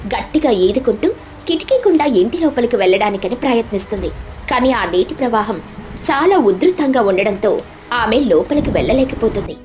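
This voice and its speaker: A woman reading a scripted children's story as storyteller, with dramatic, expressive delivery. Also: fairly high pitch, moderate pace. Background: faint background music.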